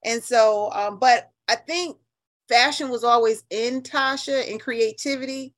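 High-voiced American woman telling stories. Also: measured pace. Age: 50s. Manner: animated, emphatic, colloquial, chuckles often. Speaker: interview guest. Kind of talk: conversational storytelling.

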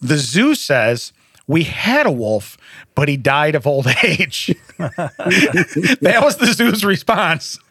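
A man's voice: fairly high pitch, big pitch swings, takes it slowly, bright, forceful tone, husky, dry recording. A man co-hosting a casual talk show, telling odd news stories with jokes, frequent laughter and rambling restarts. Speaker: show co-host. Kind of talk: casual banter reading news headlines.

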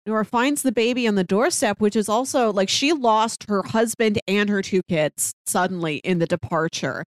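A woman's voice: mid-range voice, moderate pace, dry recording, clean studio sound. A woman co-hosting a podcast, talking through a TV story in a casual, conversational way. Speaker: podcast co-host. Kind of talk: casual TV discussion chat.

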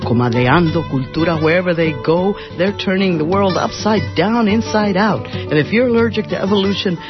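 A man's voice: high-pitched, a little fast. A man performing rhythmic spoken-word verse in a community radio drama. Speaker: radio drama cast member and announcer. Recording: dull lo-fi radio with music behind.

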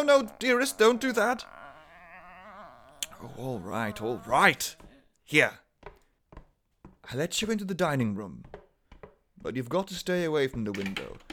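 An actor playing a desperate, devoted husband in a theatrical, pleading 1930s radio horror style. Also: male voice, a little high, a bit bright. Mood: frantic. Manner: theatrical, pleading, swinging from murmurs to outcries. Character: desperate, distraught husband devoted to his transformed wife. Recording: noisy vintage 1930s radio recording.

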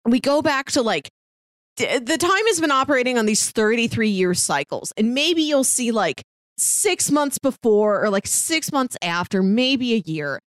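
A woman's voice: fairly high pitch, no room sound. A woman co-hosting a podcast, chatting casually about a TV series and acting out her reactions as she retells it. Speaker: podcast co-host. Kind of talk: casual chat discussing a TV series.

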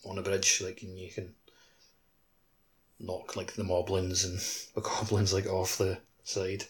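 A man's voice: sits low, monotone, unhurried, slightly rough. A man co-hosting a casual gaming podcast, adding comments in loose conversational talk. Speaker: podcast co-host.

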